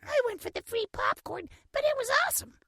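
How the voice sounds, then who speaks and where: A woman's voice: very high-pitched, animated, quick pace, gravelly, fairly bright tone, dry recording, studio quality, exaggerated voice. A woman voicing a vain, cheeky male naked mole rat who boasts playfully in an audio drama. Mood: playful, eager. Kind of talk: audio drama character acting.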